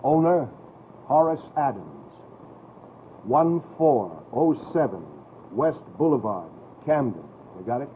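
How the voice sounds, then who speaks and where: A man's voice: medium pitch, very animated, slow. A man playing a dry police radio dispatcher on night shift, in old-time American radio drama style. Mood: businesslike. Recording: muffled, hissy vintage radio recording.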